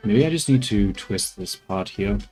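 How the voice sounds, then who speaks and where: A man's voice: on the low side, lively intonation, normal speed, a little mellow, faint music behind. A man streaming as he models a 3D character, talking to himself and his chat in a joking, muttering way. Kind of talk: casual live commentary while working.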